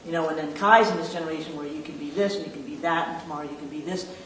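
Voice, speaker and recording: A man's voice: fairly high pitch, steady tone, racing, a bit bright, reverberant, distant mic, slight background noise. A man talking casually and reflectively in an interview as a playwright.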